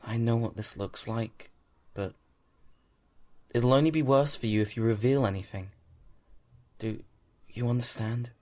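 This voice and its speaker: An actor playing an earnest, loyal young military subordinate in an audio drama, speaking carefully and hesitantly. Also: male voice, mid-range voice, unhurried, long pauses, a little mellow, lightly acted. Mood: careful, guarded.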